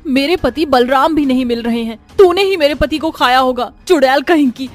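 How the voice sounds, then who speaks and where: A woman voicing an angry village wife, scolding and accusing emphatically in an animated story. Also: high-pitched, moderate pace, soft background music, natural voice.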